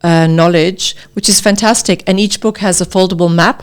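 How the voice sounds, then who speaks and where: A woman, speaking as an interview guest and safari guide, telling stories lively enthusiasm. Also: fairly low pitch, normal speed, clean tone. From Tanzania. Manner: enthusiastic, animated storytelling. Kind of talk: casual interview talk.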